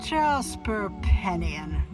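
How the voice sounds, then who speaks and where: An actress playing a strict nun schoolmistress in a radio drama, speaking formally and severely in clipped commands. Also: female voice, medium pitch, sing-song, drawn-out words, over music. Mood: stern. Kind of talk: cinematic radio drama acting.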